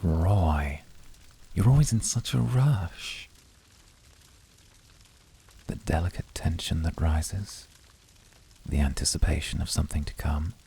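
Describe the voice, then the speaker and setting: A man voicing a composed, poetic, devoted incubus in a flirty ASMR roleplay, speaking formally in a measured, seductive, often whispery way with a raspy, breathy voice. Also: extremely low pitch, warm tone, a little hiss or hum, full character voice, low-quality recording. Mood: seductive, tender. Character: composed, poetic, devoted male incubus of calm, icy temper.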